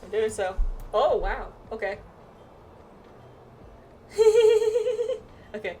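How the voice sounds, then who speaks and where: A woman's voice: high-pitched, huge pitch swings, very slow, mellow. Casual woman gamer over game music. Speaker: gamer playing through a story quest with friends. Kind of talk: casual game playthrough chat and reading text aloud.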